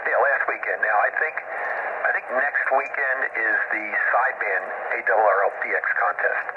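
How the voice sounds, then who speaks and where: A man's voice: lowish voice, normal speed. A man who is an amateur radio operator, chatting casually about antennas and rambling with many fillers and restarts. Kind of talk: casual technical radio chat. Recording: noisy, tinny radio transmission.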